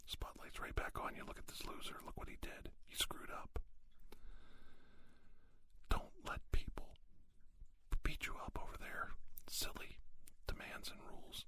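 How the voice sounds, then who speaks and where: A man whispering an ASMR monologue, giving folksy, plain-spoken advice and anecdotes with unhurried, emphatic pauses. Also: bright, forceful tone. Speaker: ASMR podcast host.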